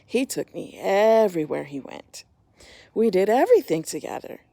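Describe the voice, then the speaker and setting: A middle-aged woman reading a picture book aloud to children, pausing to point out the pictures. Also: medium pitch, very animated, vocal fry, dark tone, dry recording. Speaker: homeschool story reader.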